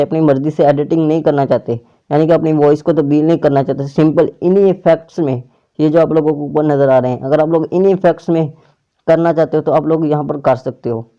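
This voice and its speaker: A man's voice: fairly high pitch, mellow, dull audio. A man hosting a casual tech tutorial, explaining an app step by step to viewers. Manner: step-by-step instructions addressed to viewers.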